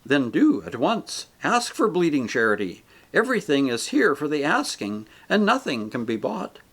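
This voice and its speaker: A man voicing a radiant male spirit who answers calmly and patiently. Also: a little high, moderate pace, slightly rough, full character voice. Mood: serene. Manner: calm, patient, gently reassuring. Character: radiant joyful male spirit, calm and gentle.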